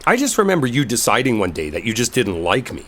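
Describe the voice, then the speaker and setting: A man's voice: medium pitch, huge pitch swings, fast talker, fairly bright tone. A man playing an exasperated, defensive coworker who is secretly smitten, in an emotional roleplay audio drama. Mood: angry, exasperated. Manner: emotional, pleading, emphatic, conversational. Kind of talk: roleplay audio drama, intimate one-sided dialogue.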